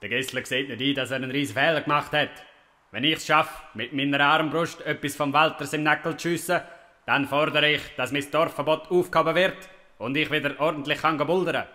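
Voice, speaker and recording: Guy as cocky swiss german hero dad, forceful declaiming, comedy radio play. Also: on the high side, fairly even intonation, fairly quick. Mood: defiant. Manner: defiant, declamatory. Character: Wilhelm Tell, brash, stubborn, boastful Swiss folk-hero father. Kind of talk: comic Swiss-German audio play acting.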